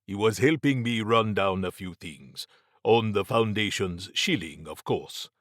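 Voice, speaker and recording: Guy doing a wry bookish gentleman occultist, kinda pompous n formal, lowish voice, storybook style, very dry recording. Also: no echo, lightly acted. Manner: formal, deliberate, understated. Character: wry, erudite British gentleman occultist, measured, faintly pompous. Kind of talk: audiobook character acting.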